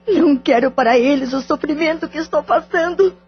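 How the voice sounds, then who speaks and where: A woman voices a betrayed, grieving wife in an audio drama, her high voice shaking with tears. The sound is dull and lo-fi with soft music underneath. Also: brisk, lightly acted. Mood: tearful. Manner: tearful, pleading, wounded. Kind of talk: radio drama acting.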